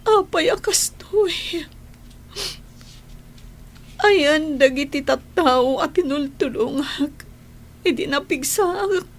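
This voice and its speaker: A woman's voice: on the high side, lively intonation, takes it slowly, pauses often. A woman playing a weary, self-sacrificing migrant worker in a radio drama, with a Filipino accent and a plaintive, yielding manner. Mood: tearful.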